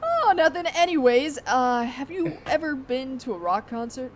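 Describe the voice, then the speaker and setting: A woman's voice: sits high, sing-song, normal speed. A woman voicing a cool, brash young female pony DJ on a comedic prank call, laid-back and slangy. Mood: cocky. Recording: dull, noisy prank call recording.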